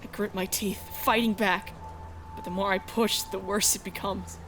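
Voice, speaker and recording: A woman's voice: medium pitch, steady tone, moderate pace, a bit bright, a little hiss or hum, lightly acted. A woman acting a young runaway heroine in a space adventure fan dub, anguished and defiant, with dramatic, emotional delivery. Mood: tense, reflective. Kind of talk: dramatic first-person narration of a visual novel. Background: music.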